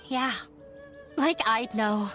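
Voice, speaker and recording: High-voiced, resigned young game heroine. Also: female voice, extremely slow, fairly bright tone, faint music behind. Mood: bitter. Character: sad, resigned young daughter of a strict scholar. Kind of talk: scripted video game dialogue.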